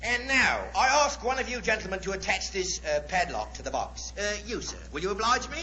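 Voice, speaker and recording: An actor playing a suave, grandiose stage magician with florid, formal patter in a 1940s radio drama. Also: male voice, sits high, big pitch swings, a little fast, sharp tone, exaggerated voice. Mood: showy, commanding. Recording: noisy, muffled 1940s radio recording, echoey stage.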